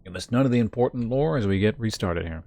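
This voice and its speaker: A man streaming a game, chatting casually with viewers and thinking aloud in a halting way. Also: fairly low pitch, lively intonation, warm tone, no echo. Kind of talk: casual live-stream chat.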